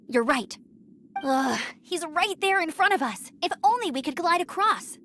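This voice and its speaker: A woman's voice: high voice, expressive, measured pace, sharp tone, a little hiss or hum, exaggerated voice. A woman voicing a plucky, bold teenage girl knight scout in a video game, spirited and emphatic. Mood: frustrated.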